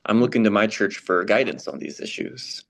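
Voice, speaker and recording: A man's voice: lowish voice, animated, normal speed, vocal fry. A man playing a frank, troubled American churchgoer who wants change, speaking earnestly in a conversational readers theater dialogue. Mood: upset, frank.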